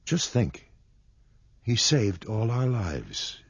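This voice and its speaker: A man voicing a grateful, moved mother in a radio storybook tale, with slow, emphatic phrasing. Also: lowish voice, very animated, husky, no room sound, voice pushed up, heavily acted. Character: grateful, moved mother speaking to her husband.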